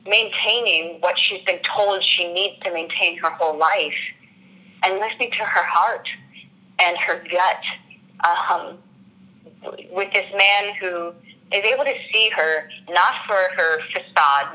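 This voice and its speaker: An actress in an interview, talking through her character in an earnest, reflective way and often pausing to search for words. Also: fairly low pitch, sharp tone, low-quality recording. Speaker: actress discussing her role. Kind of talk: interview answers.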